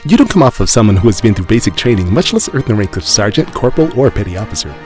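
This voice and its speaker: A man's voice: lowish voice, very animated, quick pace, darkish tone. A man playing a sardonic, articulate forum member, bluntly and analytically picking apart claims in a dramatic reading. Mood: scathing, analytical. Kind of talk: dramatic reading of a written rebuttal. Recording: music under the voice.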